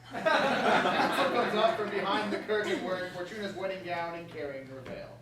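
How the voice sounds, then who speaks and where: High-voiced man narrating stage directions. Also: normal speed. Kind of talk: scripted narration at a staged reading. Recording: roomy, distant mic, faint noise.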